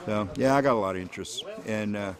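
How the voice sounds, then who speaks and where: A man's voice: lowish voice, quick pace. A middle-aged or older man chatting casually in an interview, folksy, rambling and hesitant. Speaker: engraver. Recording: busy crowd chatter behind.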